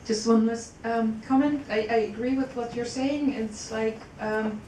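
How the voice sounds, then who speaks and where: A woman making an informal comment from the audience, tentatively, pausing mid-sentence. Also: steady tone, warm tone. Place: echoey indoor conference room. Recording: echoey room, distant mic, faint rumbling noise.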